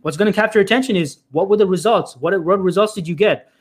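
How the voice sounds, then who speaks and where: A man's voice: high voice, fast talker, breathy edge. A man hosting a live stream as a career coach, giving emphatic advice to job seekers, often repeating himself and calling his audience "folks.". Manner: frequent restarts and filler "uh".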